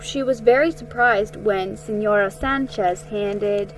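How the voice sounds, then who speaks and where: A woman giving a dramatic, expressive reading of a children's story, with a slightly halting delivery. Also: mid-range voice, rough recording. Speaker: amateur story reader. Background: music under the reading.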